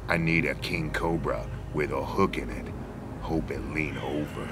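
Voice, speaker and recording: A man's voice: a bass voice, fairly even intonation, unhurried, background noise. A man in his forties giving an emphatic, theatrical mock-dramatic reading of rap lyrics. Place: outdoors among trees. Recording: music under the voice. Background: music.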